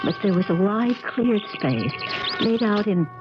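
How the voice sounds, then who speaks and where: A woman's voice: medium pitch, lively intonation, a little slow, lightly acted. An actress in a 1970s radio drama playing an uneasy, genteel young woman visiting her uncle. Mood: reflective narration. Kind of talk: 1970s radio drama acting, first-person narration and dialogue. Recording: dull crackly 1970s radio recording, music behind.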